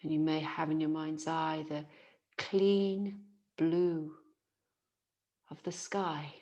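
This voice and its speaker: Female yoga nidra guide, low-pitched, very slow delivery, soothing and gentle, long pauses. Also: level tone, dull audio. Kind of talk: guided relaxation meditation.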